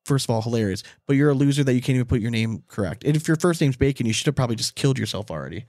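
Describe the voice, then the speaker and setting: A man's voice: mid-range voice, expressive, rapid-fire, darkish tone, no room sound, clean studio sound. A man hosting a casual sports podcast, talking in a blunt, rambling, loose way with some swearing. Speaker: podcast host.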